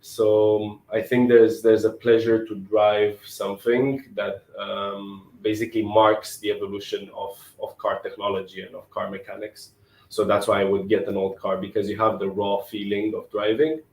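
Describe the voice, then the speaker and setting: A man in his twenties from Romania, answering interview questions in a relaxed, thoughtful way and often repeating words as he thinks. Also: fairly low pitch, normal speed, echoey room, poor audio. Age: 20s.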